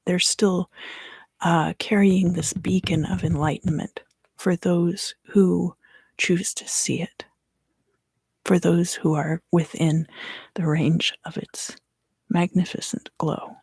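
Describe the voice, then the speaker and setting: A woman reads tarot in an ASMR style, slipping between soft speech and whisper. Her voice is lowish and breathy with a gravelly fry. She speaks in measured phrases with deliberate pauses. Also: fairly even intonation, no echo. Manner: hushed, drifting in and out of whisper. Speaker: tarot reader and ASMR host.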